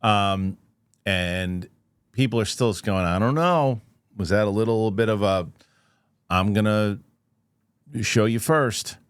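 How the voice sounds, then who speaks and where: A man's voice: lowish voice, slow, pauses often, smooth, dry recording. A man hosting a news show, speaking in a conversational, loosely rambling commentary style. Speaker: host of a UFO news show. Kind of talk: casual news commentary with host-read ad.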